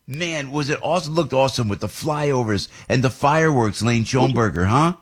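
A man's voice: mid-range voice, moderate pace, husky. A man hosting a radio talk show from a studio, enthusiastic and effusive. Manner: enthusiastic, effusive, gushing with praise. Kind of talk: lively radio chat and live ad reads.

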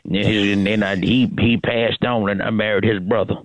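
A man's voice: lowish voice, level tone, normal speed, no echo, natural voice, rough recording. A man voicing a cantankerous old great-grandmother on the phone, rambling, repeating herself and cussing. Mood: scornful. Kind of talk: prank phone call comedy bit.

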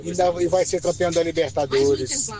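A man's voice: on the high side, fairly even intonation, brisk, a loud crowd. A man with a Brazilian accent leaving a casual radio listener message from inside a car. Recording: in-car recording, voices behind.

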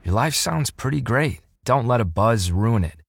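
A man announcing a scripted public service ad in a calm, measured way. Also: medium pitch, creaky, heavy background noise. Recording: ad over loud music.

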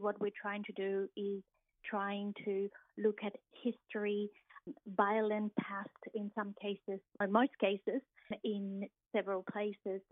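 A middle-aged woman with an Argentine accent, a native Spanish speaker, explains her field in an interview. Her delivery is reflective and measured. The sound is dry but dull and narrow in band. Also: mid-range voice, dry recording. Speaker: interviewed university lecturer. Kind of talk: thoughtful radio interview.

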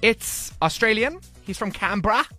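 Man, radio host, lively bright voice, laughing, chatty. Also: high-pitched, moderate pace, faint music behind. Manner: laughing, chatty, hesitant with ums. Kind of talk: casual radio banter.

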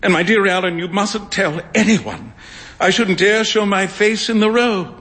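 A man voicing a sulky, hapless young gentleman. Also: sits high, measured pace, voice pushed up. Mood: rueful. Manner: rueful, sulky. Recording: long stage reverb in a hall.

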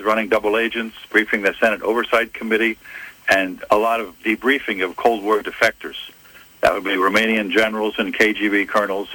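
An older man from Philadelphia recounts his career in a measured, self-correcting way. His low voice is husky yet forceful. It sounds dry and close, with no room sound. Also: no room sound, low-quality recording. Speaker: retired FBI agent, private investigator. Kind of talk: interview answers, recounting career.

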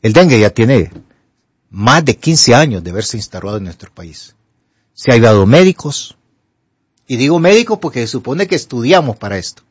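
An older man with a Honduran Spanish accent, a doctor on a radio call-in show who gives health advice in an emphatic, animated way. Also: mid-range voice, moderate pace, breathy edge, no echo. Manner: animated, emphatic, rises to pleading.

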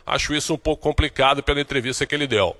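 A man from Brazil giving a recorded sports report in a steady, informative manner. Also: medium pitch, racing.

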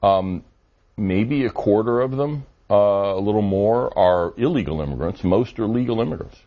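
A man answering interview questions on policy, measured and argumentative, with frequent fillers. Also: on the low side, animated. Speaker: immigration policy guest. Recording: dull lo-fi audio.

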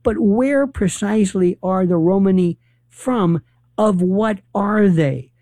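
A man hosting a casual conversation, talking in an animated, halting way with many restarts and fillers. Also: high voice, no room sound, studio quality. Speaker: host.

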